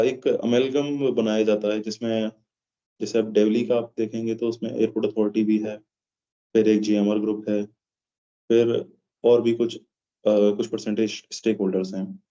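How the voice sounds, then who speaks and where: A man with an Indian accent explaining things in a measured way as an interview guest, pausing mid-phrase. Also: lowish voice, warm tone. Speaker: interview guest, aviation professional. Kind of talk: explanatory interview answers in Hindi mixed with English. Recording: dull, lo-fi sound.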